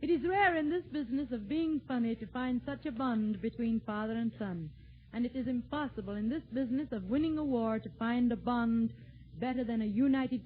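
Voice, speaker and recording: A woman announcing as host of a vintage radio program. Her voice is high, and her scripted delivery is formal and polished. It sounds thin and narrow, like a 1940s broadcast. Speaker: show host.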